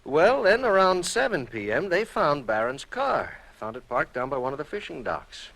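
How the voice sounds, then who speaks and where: A genial, easygoing American insurance man in an old radio drama. He talks in a folksy, rambling, hesitant way, with very lively pitch. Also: moderate pace, creaky, full character voice. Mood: reluctant, uneasy. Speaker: radio actor. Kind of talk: golden-age radio drama dialogue.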